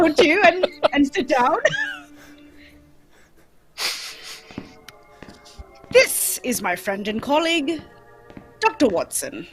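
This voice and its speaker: A keen gentleman detective from an old-time radio play, played by a woman. The voice is high and very animated, theatrical and courteous, explaining with brisk confidence. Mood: courteous, assured.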